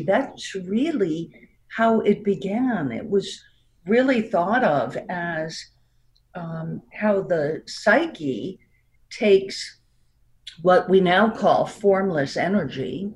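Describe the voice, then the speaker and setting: A woman in her sixties with a New York accent, speaking as a therapist guest in a reflective, unhurried way with frequent light laughs. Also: medium pitch, lively intonation, darkish tone. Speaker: marriage and family therapist guest. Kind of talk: reflective interview talk.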